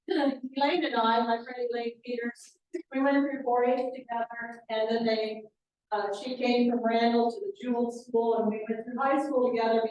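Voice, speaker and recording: A woman in her sixties from Kansas, a guest author who says she has a loud voice. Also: fairly high pitch, heavy reverb, recorded from a distance, dull audio, a little hiss or hum. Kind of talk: author talk. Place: library meeting room.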